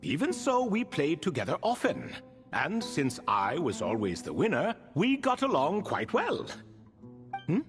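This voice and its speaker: A wry, stately ancient spirit drifts between lofty recollection and dry mockery in a video game scene. His pitch swings widely from low musing to sharp amused peaks. Soft music plays behind him. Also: wildly swooping pitch, measured pace, exaggerated voice. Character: ancient proud male spirit retainer bound in a relic. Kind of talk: video game cutscene dialogue.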